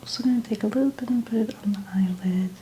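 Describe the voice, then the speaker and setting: A woman with a dark, relaxed voice speaks in soft, breathy near-whispers. She talks through each small step in a gentle, unhurried ASMR style. A light ambient noise sits behind her. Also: medium pitch. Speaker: ASMR host doing a makeup application. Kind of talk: soft-spoken ASMR roleplay.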